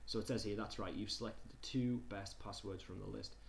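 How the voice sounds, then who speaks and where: A man with a fairly low voice leads a relaxed online lesson. He reads from slides and adds his own comments, hesitating and doubling back on phrases. There is clear noise behind him. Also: a little fast. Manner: hesitant, conversational, repeats himself. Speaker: tutor presenting a digital skills session.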